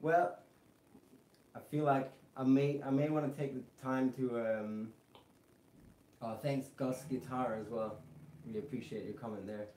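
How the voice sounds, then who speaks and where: A man with a mix of British and American accent, teaching guitar on a live stream and chatting with viewers in a relaxed, rambling way full of fillers. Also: mid-range voice, a little slow, long pauses. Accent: British English mixed with American. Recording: roomy echo, faint guitar behind.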